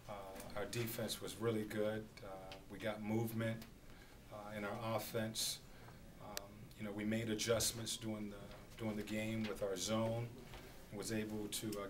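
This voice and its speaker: A middle-aged man coaching basketball speaks at a podium after a game, his voice low and fairly flat. He talks in a measured, halting way, padding with fillers. The sound comes from a camera a few steps away, with a noisy room hum behind. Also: recorded from a distance. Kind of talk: postgame press conference. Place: small press room at a podium. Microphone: podium microphone on a stand.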